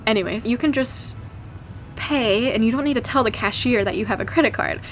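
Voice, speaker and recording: Young american gal vlogging, bit high voice, giggly and chatty, faint rumble behind. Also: female voice, brisk, low-quality recording. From California. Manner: chatty, giggly, lively storytelling. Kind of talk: casual chat to camera.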